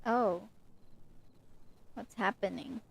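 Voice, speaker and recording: A woman gives casual commentary on a game livestream. She talks very slowly at an average pitch, thinking aloud in short, informal reactions. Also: creaky, a little hiss or hum, poor audio.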